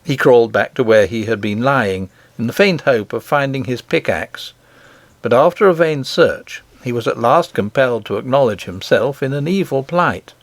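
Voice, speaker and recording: A man reading a children's storybook as an audiobook narrator, in a measured, expressive literary manner. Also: mid-range voice, no room sound.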